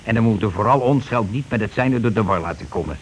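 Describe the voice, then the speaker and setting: Actor as a glib, bossy working-class schemer. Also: male voice, lowish voice, expressive, fast talker, lightly acted. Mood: glib, persuasive. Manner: glib, colloquial, self-justifying. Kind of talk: Dutch radio play acting. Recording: noisy old radio play recording.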